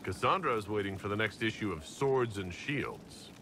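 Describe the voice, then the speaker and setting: Calm fantasy game leader, very deep, lively intonation, noisy ambience behind. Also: male voice, normal speed. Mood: amused, casual. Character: calm, wry male military-religious leader of an inquisition. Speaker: player character in a fantasy video game. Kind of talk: cinematic game dialogue acting. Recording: background noise and ambience.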